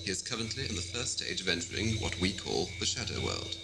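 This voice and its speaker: A man's voice: low voice, sing-song, fast talker, creaky, very noisy, exaggerated voice. A man playing a smug, arrogant renegade alien villain with pompous, formal diction in an amateur audio drama. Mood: cold, threatening.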